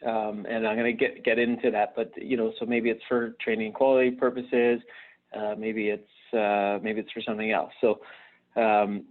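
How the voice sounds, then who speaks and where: A man gives an instructional live webinar presentation, explaining things conversationally and haltingly, often hesitating and repeating words. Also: on the low side, little pitch movement. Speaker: presenter at a virtual conference. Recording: narrow, phone-like online call audio.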